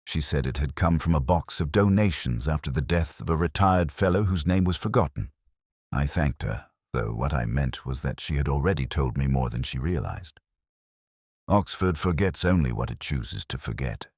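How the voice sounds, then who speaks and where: A man narrating as a brooding, weary middle-aged detective, in a measured, reflective and wry way. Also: extremely low pitch, animated, a little mellow, breathy edge, no echo, clean studio sound, full character voice. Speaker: first-person narrator. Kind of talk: scripted radio-drama narration.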